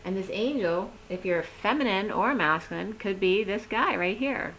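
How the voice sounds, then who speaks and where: A woman giving a rambling, chatty tarot reading, talking with a voice that is going hoarse. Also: medium pitch, animated, moderate pace, a bit bright, slight background noise, poor audio. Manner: chatty, rambling, laughs easily. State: losing her voice. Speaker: tarot reader. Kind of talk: casual tarot reading to camera.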